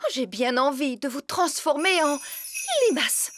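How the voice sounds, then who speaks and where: A woman's voice: high voice, a little slow, a bit bright, a little hiss or hum. A woman voicing an imperious, dramatic fairy queen and mother in a cartoon dub, grand and theatrical in manner. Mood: indignant.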